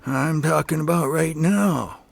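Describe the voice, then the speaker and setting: A man playing a polite, spooked neighbor in an amateur radio drama, hesitant and halting. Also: on the high side, gravelly, exaggerated voice. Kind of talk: amateur old-time radio drama acting.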